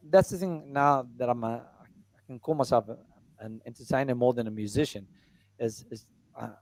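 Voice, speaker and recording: A middle-aged man with a baritone voice, talking casually about his music in an interview, rambling with many fillers and restarts. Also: darkish tone, no echo, poor audio. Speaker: musician and entertainer.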